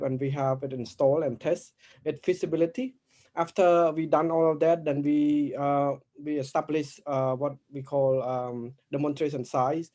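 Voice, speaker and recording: A man with a soft-edged, mellow and slightly high voice gives a project presentation. He speaks as one presenter of a team. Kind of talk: pitch presentation.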